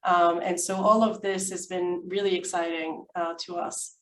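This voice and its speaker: A woman from France, speaking conversationally and haltingly as a webinar panelist. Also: lowish voice, flat delivery. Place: indoor room, online webinar. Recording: echoey, distant mic, faint noise.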